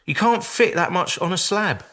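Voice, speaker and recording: An actor in a radio drama playing a sceptical, blunt audio technician with a dismissive, informal manner. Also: male voice, high-pitched, animated, normal speed, a bit bright. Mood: sceptical, scoffing.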